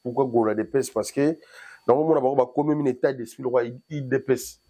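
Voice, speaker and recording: A man's voice: mid-range voice, brisk, dark tone, breathy edge. A man from Congo, a guest musician, chatting loosely in an interview and rambling as he switches between French and Lingala. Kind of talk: casual interview chat. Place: in Paris.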